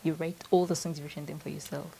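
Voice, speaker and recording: Female interview host, low-pitched, mellow, attentive, faint hiss. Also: steady tone, quick pace, gravelly. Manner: attentive, gives frequent "mm" backchannels. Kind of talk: casual interview.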